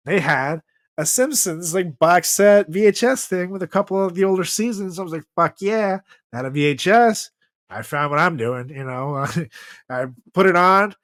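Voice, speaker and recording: A man's voice: a little high, a bit bright, no room sound. A man hosting a comedy podcast in casual banter, swearing freely and rambling through his stories in a joking way. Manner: profane, joking, rambling storyteller. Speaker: podcast host.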